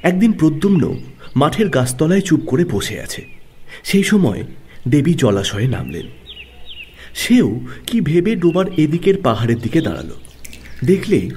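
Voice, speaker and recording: A man narrating a classic literary audio story in a dramatic, scripted style with measured phrasing and pauses. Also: a little high, dark tone, a little hiss or hum.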